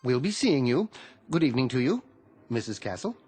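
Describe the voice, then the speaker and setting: An actor playing a businesslike, officious tax collector in a radio drama, with a dry manner. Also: male voice, mid-range voice, sing-song, brisk, music in the background, natural voice. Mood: blandly officious. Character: brisk, officious tax man, blandly unhelpful.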